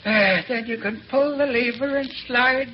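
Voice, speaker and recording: An actor playing a sinister old crematory worker in an old radio drama, folksy and coolly callous. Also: male voice, extremely high pitch, measured pace. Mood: coolly menacing. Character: sinister, matter-of-fact old crematory worker, a crooked accomplice. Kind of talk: 1940s radio acting. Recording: muffled, noisy old radio recording.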